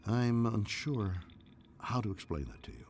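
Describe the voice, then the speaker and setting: Low, ancient enigmatic alien being. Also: male voice, very animated, a little mellow, slight background noise. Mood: enigmatic, measured. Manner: measured, formal, cryptic. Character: ancient enigmatic male alien entity, calm and formal. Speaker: voice pack character. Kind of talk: scripted game dialogue.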